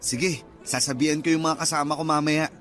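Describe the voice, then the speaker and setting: A man's voice: a little high, fairly even intonation, a little fast, a bit bright, lightly acted. An actor playing a friendly, casual male nurse in his thirties in a Filipino radio drama. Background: music.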